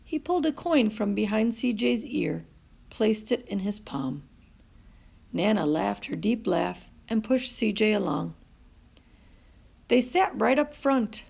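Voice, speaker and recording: A woman reading a picture book aloud to children in an expressive, deliberate storytelling manner. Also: medium pitch, creaky, husky. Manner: animated, deliberate phrasing with pauses.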